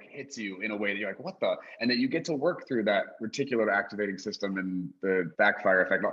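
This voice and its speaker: A man's voice: fairly low pitch, a bit bright, lo-fi sound. A man hosting a podcast, enthusiastic and rambling as he sets up questions for his guest. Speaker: podcast host. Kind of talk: podcast interview.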